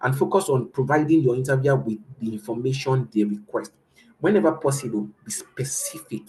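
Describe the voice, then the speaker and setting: A man's voice: medium pitch, a little fast, dark tone, a little hiss or hum. A man with a Nigerian accent giving casual career advice as a host, earnest and repetitive, with many fillers. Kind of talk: casual motivational talk.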